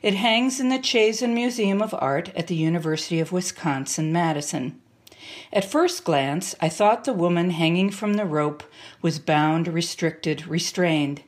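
A woman's voice: lowish voice, a little slow. An older woman, a writer, giving a prepared introduction to her work. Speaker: poet, writer.

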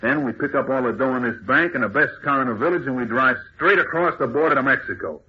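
An actor playing a tough, callous gang boss in a radio serial, bossy and sneering, with rough slangy diction. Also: male voice, medium pitch, fast talker, lightly acted. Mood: bossy, impatient. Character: tough, callous male crook boss of escaped convicts. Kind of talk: old-time radio serial acting. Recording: muffled, noisy old radio recording.

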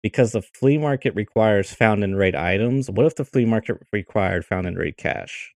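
Deep-voiced man, dry close sound. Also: expressive, normal speed, gravelly, dry recording. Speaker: podcast co-host, video editor. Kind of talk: casual chat.

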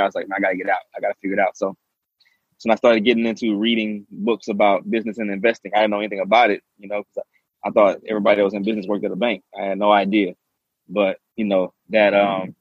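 Fast-talking low-voiced man. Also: breathy edge, no echo, highs cut off. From Southeast Texas. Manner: fast, eager storytelling. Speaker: guest, real estate investor. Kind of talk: casual interview talk.

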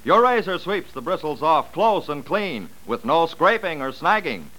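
Male ad announcer, American, vintage radio, animated and forceful, salesmanlike. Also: fairly high pitch, moderate pace, poor audio. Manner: punchy, salesmanlike. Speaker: commercial announcer. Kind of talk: 1940s radio ad pitch.

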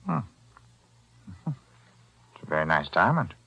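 An older pawnbroker, smug, lively swooping delivery, bright tone, vintage radio. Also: male voice, low voice, unhurried, creaky, slightly rough, muffled recording, exaggerated voice. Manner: smug, coolly bargaining. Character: shrewd, greedy older male pawnbroker. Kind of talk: 1940s radio acting. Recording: vintage 1940s radio broadcast.

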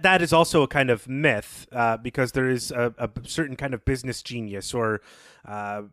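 A man's voice: medium pitch, a bit bright, dry recording, studio quality. A man co-hosting a podcast, discussing literature in an analytical, academic way and rewording himself as he goes. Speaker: podcast co-host.

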